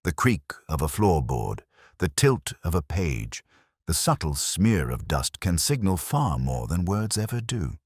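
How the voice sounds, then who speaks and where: A man acting as a reflective male police detective telling his own case. The voice sits very deep and moves widely in pitch. His delivery is measured, literary and reserved, in a close, dry sound. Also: sing-song, a little mellow, slightly rough, no room sound, heavily acted. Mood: measured, contemplative. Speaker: narrator. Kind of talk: scripted first-person detective narration.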